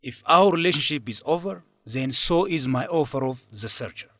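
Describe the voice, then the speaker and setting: A man's voice: mid-range voice, animated, moderate pace, bright, forceful tone, no echo, clean studio sound. An actor playing a controlling, scheming young male student leader in an educational radio drama, defensive and insistent in manner. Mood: spiteful. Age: young adult.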